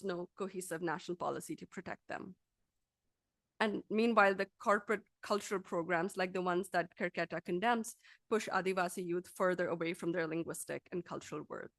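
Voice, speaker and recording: A woman lecturer reading a scripted academic talk aloud in a formal, measured, articulate manner. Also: mid-range voice, fairly bright tone, no echo.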